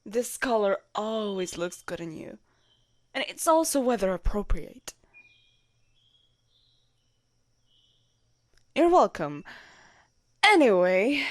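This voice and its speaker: A woman's voice: mid-range voice, sing-song, takes it slowly, long pauses, no room sound, clean studio sound. A woman playing a loyal young knight commander in a roleplay audio drama, formal and courteous but easily flustered. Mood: composed, courteous.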